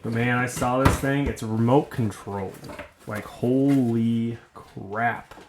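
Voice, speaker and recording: A man with a gravelly voice chatting casually and rambling in a self-mocking way while unboxing a toy at home. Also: medium pitch, steady tone, takes it slowly, noisy background. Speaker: vlogger unboxing toys.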